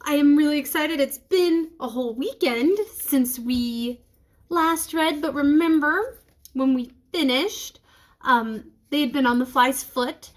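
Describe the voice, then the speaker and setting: A woman's voice: sits high, unhurried. A woman reading a children's book aloud, expressively and speaking directly to young listeners.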